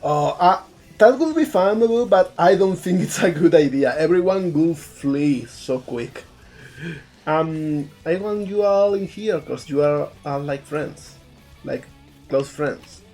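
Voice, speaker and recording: Andalusian-accented Spaniard, mellow voice, chatty streamer. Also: medium pitch, animated, slow, soft background music. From Spain. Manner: thinks aloud, repeats words while talking. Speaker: game developer streaming his art. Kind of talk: casual live-stream chat while working.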